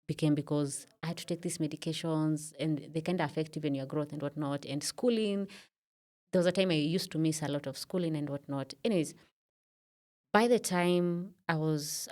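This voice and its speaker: A woman's voice: sits low, darkish tone. A Kenyan woman around thirty, a podcast guest in a studio, telling her story in a reflective way with many fillers. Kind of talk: casual interview talk.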